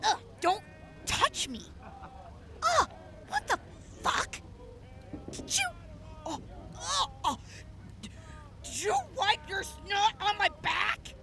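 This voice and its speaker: A woman's voice: very high-pitched, very animated, slow, gravelly, long pauses, sharp tone, heavily acted. A woman playing a brash, foul-mouthed young raider in a wasteland audio drama, cocky and hot-tempered in her delivery. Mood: furious. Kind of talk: post-apocalyptic audio drama acting.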